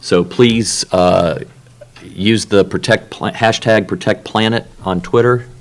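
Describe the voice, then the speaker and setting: A man with a very low voice chairs a morning working session in a conference room. He talks into a room microphone in a halting way, full of ums and restarts. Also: steady tone. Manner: halting, many fillers and restarts. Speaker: workshop co-chair and moderator. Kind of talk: opening remarks at a technical meeting.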